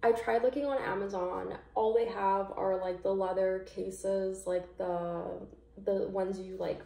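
A young woman from Canada talks her way through a casual review, looping back with likes and ums. Her voice is mellow with a gravelly fry. She sounds a little distant from the camera in an echoey room with a faint hiss behind her. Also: mid-range voice, fairly even intonation, a little slow. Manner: chatty, rambling, many likes and ums. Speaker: vlogger. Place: small plain room indoors. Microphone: camera a few feet away.

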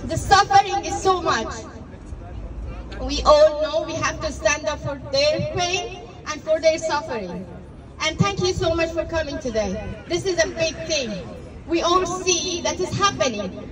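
A woman from Afghanistan in her thirties gives a heartfelt, hesitant speech into a handheld microphone at an outdoor rally. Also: very high-pitched. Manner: heartfelt, earnest, hesitant with fillers. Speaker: rally speaker. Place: outdoor city plaza at a rally. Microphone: handheld microphone through loudspeakers, filmed from a distance. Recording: distant, echoing public-address sound outdoors. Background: crowd and traffic.

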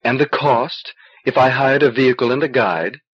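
A man's voice: mid-range voice, normal speed, slightly rough, dry recording, lightly acted. A man reading an audiobook as a stubborn young male traveler, earnest, insistent and questioning. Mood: curious. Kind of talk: audiobook character dialogue. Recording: dull old cassette transfer.

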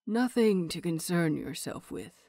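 A woman's voice: on the low side, sing-song, a little slow, dark tone, no room sound, clean studio sound. A woman voicing a stern old male master in a story, terse and reluctant, as if each word is forced out. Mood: grudging.

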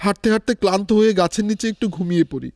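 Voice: mid-range voice, lively intonation, racing, gravelly, darkish tone, no echo, clean studio sound. A voice actor playing a poor, wronged male goat owner from a village in a cartoon, plaintive and protesting. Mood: aggrieved, sorrowful. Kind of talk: cartoon dialogue. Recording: music bed under the voice. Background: music.

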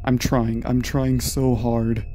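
A man's voice: mid-range voice, little pitch movement, slow, mellow, close to an everyday voice. A man playing a worried young man trying to reassure his friend, earnest and subdued, in a horror story. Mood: helpless, earnest. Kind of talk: dramatised horror story reading. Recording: eerie music behind. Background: ominous background music.

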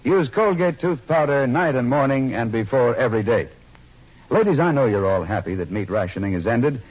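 A man's voice: medium pitch, expressive, moderate pace, husky. A man working as a 1940s radio announcer, reading scripted sponsor messages in a polished, persuasive way. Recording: muffled 1940s radio broadcast.

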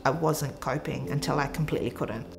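A woman in her twenties with a New Zealand accent, reflecting quietly in a documentary interview. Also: rumbling low, brisk, vocal fry. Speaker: athlete. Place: indoor room with framed photos. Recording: music underneath.

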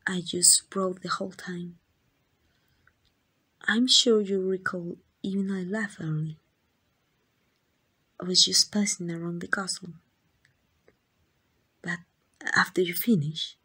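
A woman with a Mexican accent playing a tender, devoted queen in a romantic ASMR roleplay, speaking in gentle, intimate, breathy whispers. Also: lowish voice, heavily acted. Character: tender, devoted queen in secret love with a commoner.

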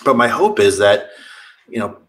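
A man's voice: mid-range voice, very animated, moderate pace, fairly bright tone. A man in his thirties, a pastor, talking earnestly over a video call from his home office, often restarting his sentences. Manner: earnest, rambling with many restarts. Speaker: pastor, guest.

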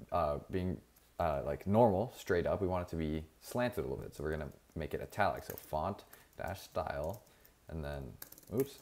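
A man narrating a screen-recorded coding tutorial in a casual, relaxed way, with frequent fillers and self-corrections. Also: low voice, slight background noise. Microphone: voice-over over screen recording.

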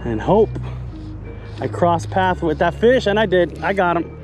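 A man in his thirties narrates his fly-fishing trip on an action camera at the edge of a lake, explaining each cast as he goes. His voice sits a little high, and it lifts with excitement whenever fish break the surface. Faint music plays underneath. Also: a little slow. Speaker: fishing vlogger. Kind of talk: casual vlog narration. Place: outdoors by a lake.

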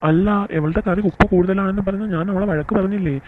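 A man's voice: high-pitched, fairly even intonation, fast talker, phone-quality sound, noisy background, natural voice. A man playing an indignant young married son in an amateur cartoon skit, scolding emphatically. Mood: accusing, firm.

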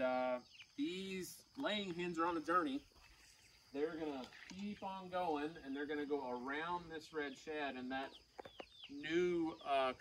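A man in his forties hosting a casual farm vlog outdoors, with a relaxed drawl and plenty of fillers. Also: medium pitch. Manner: relaxed, folksy, with frequent fillers. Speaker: homestead farmer and channel host. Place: outdoors on a rural farm yard. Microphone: camera mic, at times from a distance. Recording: outdoor camera audio, slightly distant, faint hiss.

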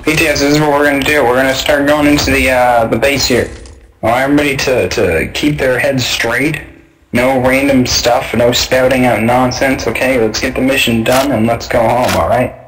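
A man's voice: mid-range voice, steady tone, moderate pace, fairly bright tone. A man acting as commander in an online roleplay game, briefing other players over voice chat. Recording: noisy game voice chat with clicks.

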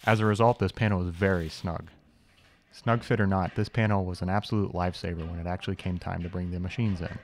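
A man's voice: deep voice, fairly even intonation, quick pace, a little hiss or hum. A young man narrating a scripted shop-tour voice-over, explaining machines with dry, wry humor. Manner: dry, wry humor with self-deprecating asides. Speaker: channel host, machinist.

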